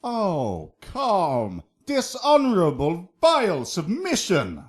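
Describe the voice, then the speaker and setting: A man playing a hot-headed, slow-spoken young swordsman. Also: sits high, huge pitch swings, exaggerated voice. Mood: mocking, defiant. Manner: theatrical, taunting. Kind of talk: Shakespearean radio drama acting.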